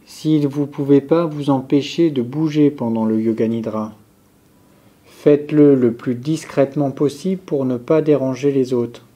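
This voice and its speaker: A man guiding a yoga nidra relaxation session, giving calm, measured, step-by-step instructions. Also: mid-range voice. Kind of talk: guided relaxation meditation, instructions spoken slowly to a group.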